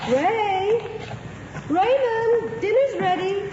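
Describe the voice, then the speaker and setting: Casting: fussy American mom, very expressive pitch, brisk, muffled noisy old radio recording. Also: female voice, heavily acted. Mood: calling out. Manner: brisk, gently scolding. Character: fussy, proper American housewife mother. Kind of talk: 1950s radio drama acting.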